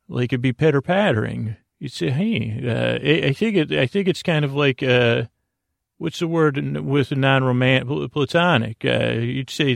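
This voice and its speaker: A man hosting a bedtime podcast in a creaky voice, with a halting, meandering monologue that repeats itself and drifts into whimsical asides. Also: mid-range voice, no echo, studio quality. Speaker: podcast host.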